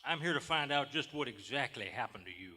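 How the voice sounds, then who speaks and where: A hard-nosed sheriff, acted by a man, folksy and suspicious. He badgers bluntly in a forceful tone that carries across a reverberant theater stage in old radio-drama style. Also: medium pitch, expressive, brisk. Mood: probing. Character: gruff, suspicious, hard-nosed Southern sheriff in his office. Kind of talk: 1940s radio drama acting. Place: large theater stage, live performance. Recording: live theater stage, long hall reverb.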